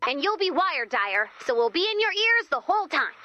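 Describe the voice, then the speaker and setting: Young female mission leader, very high voice, swooping intonation, chatty, encouraging, video game. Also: moderate pace, no echo, highs cut off, exaggerated voice. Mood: coaxing. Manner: chatty, coaxing, animated. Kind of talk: video game character voice acting.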